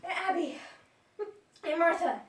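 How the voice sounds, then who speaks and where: Flustered nephew played by a woman, stage comedy: agitated, emphatic, stammering, wide swings of pitch, distant mic. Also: expressive, a little slow. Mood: shocked. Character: agitated, flustered nephew, a man, drama critic. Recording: echoey room, far mic, faint noise.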